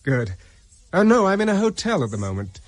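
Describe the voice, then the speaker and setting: Animated, higher-pitched British man a wary captain. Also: fairly quick, no echo. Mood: polite, anxious. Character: resourceful, wary British former army captain on the run. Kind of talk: radio drama acting.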